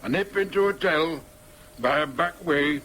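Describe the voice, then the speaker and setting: Plain Northern man, highish voice. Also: pushed and bright, a little hiss or hum. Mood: matter-of-fact recounting. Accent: Northern English. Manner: matter-of-fact, deliberate. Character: plain-spoken former maintenance man recounting a confession. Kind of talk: first-person dramatic monologue.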